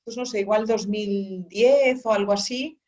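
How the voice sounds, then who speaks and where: Woman author guest, relaxed rambling storyteller, mid-pitched, lo-fi sound. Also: a little slow. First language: Spanish. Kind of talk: conversational interview. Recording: dull lo-fi sound.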